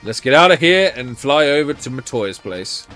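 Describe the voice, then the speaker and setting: A man's voice: mid-range voice, sing-song, moderate pace, fairly bright tone, soft background music. A man streaming a video game, chatting casually and playfully as he plays. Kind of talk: casual gameplay chat.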